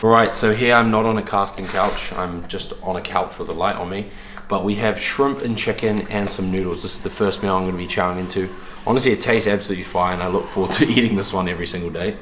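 Low-voiced young New man, echoey room. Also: steady tone, quick pace. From New Zealand. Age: young adult. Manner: blokey, slangy, sweary. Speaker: fitness vlogger. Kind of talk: casual vlog chat to camera. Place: indoors in kitchen, living room and gym. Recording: echoey room with background noise.

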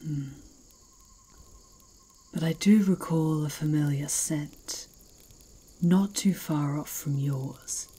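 A fierce werewolf chieftess, acted by a woman for an ASMR fantasy roleplay. Her voice is low and husky, and she talks slowly, with proud, mocking authority. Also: dark tone, heavily acted. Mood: taunting, measured. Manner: slow, taunting, imperious.

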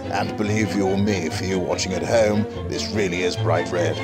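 Man doing a low-voiced, pompous British newsreel announcer. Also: steady tone, moderate pace. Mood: proud, promotional. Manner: pompous, formal, with hesitations. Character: earnest, proud British newsreel announcer of motoring films. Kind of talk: spoof vintage newsreel narration. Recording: loud music over the voice.

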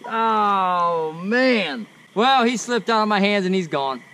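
A man's voice: high-pitched, slow pace, a little hiss or hum. A man, giving casual commentary while catching catfish on a riverbank at night. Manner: excited, talks while reeling in fish. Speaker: fishing vlogger. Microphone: action camera, sometimes far.

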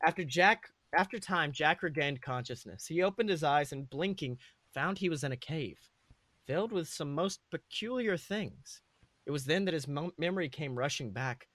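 Higher-pitched man reading a story aloud. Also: expressive, moderate pace, fairly bright tone, no echo. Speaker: podcast host reading fan fiction aloud. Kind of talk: comedic story narration.

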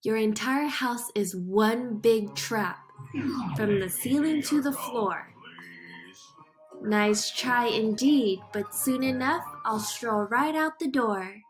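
A woman's voice: a little high, takes it slowly, poor audio. A woman voicing a cocky, mischievous old male leprechaun in boastful, teasing rhymes from a picture book. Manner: boastful, teasing, singsong rhyming. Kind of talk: picture book read-aloud. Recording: music behind the voice.

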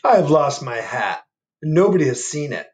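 A man voicing a polite, plodding bear in a picture book, with slow, courteous, deliberate speech. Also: a little high, sing-song, darkish tone, pitched above the performer's own voice. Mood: forlorn. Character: polite, plodding male bear searching for his hat.

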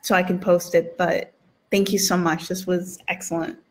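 A woman's voice: on the low side, flat delivery, normal speed. A middle-aged woman moderating a live online event over a video call, reading out questions. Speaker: host and moderator of a library event. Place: indoor book-lined room. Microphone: video call, computer microphone.

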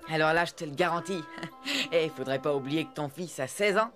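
A man's voice: on the high side, animated, a little fast, a bit bright, soft background music. A man voicing a cocky, reassuring sixteen-year-old boy in a French cartoon dub, breezy and slangy. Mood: breezy, confident.

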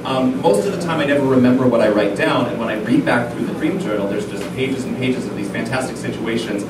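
A man's voice: medium pitch, fairly quick, very noisy. A man in his thirties from Alaska telling comic stories on stage in a dry, self-deprecating way. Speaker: cabaret pianist and storyteller. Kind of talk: comic stage storytelling to a live audience. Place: small cabaret room, indoors. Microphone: stand microphone at piano, filmed from afar. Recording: echoey room, distant camcorder audio.

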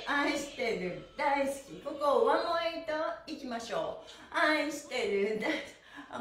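Middle-aged female fitness instructor, high-pitched, encouraging, singsong counting, heard from across a reverberant room. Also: fairly bright tone. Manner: cheerful, encouraging, rhythmic cueing. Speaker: stretching class instructor and pantomime teacher. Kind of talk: exercise instruction with chanted counts. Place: small bare indoor studio room. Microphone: camera a few meters away. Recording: echoey room, mic far off, faint music.

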